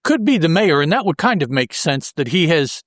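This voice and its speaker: A man with a slightly higher-pitched voice hosts a casual podcast recap. He talks through a comic book story colloquially, tossing in joking asides. The sound is close and fairly dry. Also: very animated, moderate pace, fairly bright tone, no room sound. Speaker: podcast host.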